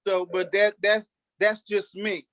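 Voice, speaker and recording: A man's voice: high-pitched, flat delivery, unhurried, a bit bright, no room sound, studio quality. A man who acts on stage, talking informally in an interview and repeating phrases emphatically for stress. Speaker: stage play cast member, actor.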